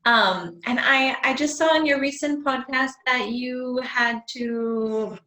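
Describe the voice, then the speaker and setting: A woman's voice: high voice, takes it slowly, pushed and bright. A woman hosting a podcast interview, chatty and leading with questions. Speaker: podcast host. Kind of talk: casual interview chat. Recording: echoey room, far from the mic.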